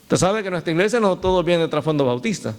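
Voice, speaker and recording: A man's voice: on the high side, animated, rapid-fire. A man from El Salvador, a pastor preaching a sermon who tells stories with laughter and speaks directly to his congregation.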